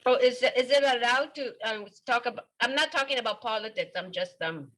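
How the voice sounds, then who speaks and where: A woman taking part in a casual group video call, speaking haltingly with lots of fillers. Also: a little high, fairly bright tone. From Japan. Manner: hesitant, many fillers. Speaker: participant.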